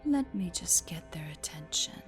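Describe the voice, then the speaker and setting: A woman voicing a possessive, sadistic spider-woman in a roleplay audio drama, smugly playful, with breathy, teasing, condescending coos over music. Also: on the low side, expressive, normal speed, in a whisper, natural voice. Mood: smug, amused.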